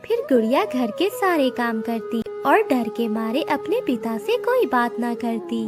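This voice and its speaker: Female storyteller for a kids' cartoon: highish pitch, scripted narration, clear music bed underneath. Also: sing-song, a little slow. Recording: music behind the voice.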